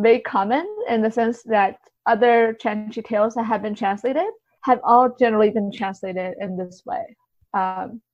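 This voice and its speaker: Woman interviewee, dull lo-fi audio. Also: medium pitch. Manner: explanatory, hedging with many softeners. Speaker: guest literary translator. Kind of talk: interview conversation.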